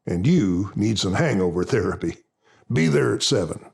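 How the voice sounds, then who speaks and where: A man voicing a gruff but loving army captain who gives orders, in an audiobook. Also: fairly low pitch, expressive, normal speed, darkish tone, voice pushed down, full character voice. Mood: gruff, insistent. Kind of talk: audiobook character dialogue.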